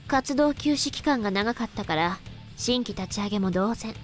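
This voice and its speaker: Woman doing cool composed anime schoolgirl, quick, a bit high, soft music behind. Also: expressive, close to an everyday voice. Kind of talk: Japanese visual novel voice acting.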